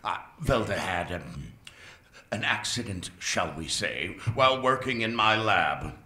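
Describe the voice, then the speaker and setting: A man's voice: deep voice, very animated, sharp tone, exaggerated voice. A man playing a sly, drawling mad scientist. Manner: slow, drawling and sly, with purring pauses. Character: sinister, scheming older male mad scientist. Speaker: research scientist. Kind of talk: amateur radio-play acting. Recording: roomy echo, faint hiss.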